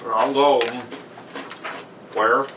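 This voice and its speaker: A man's voice: mid-range voice, fairly even intonation, extremely slow, fairly bright tone, distant mic. A man co-hosting a podcast, bantering casually with sarcastic asides and reading aloud. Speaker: podcast co-host. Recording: roomy echo, off-mic, faint noise.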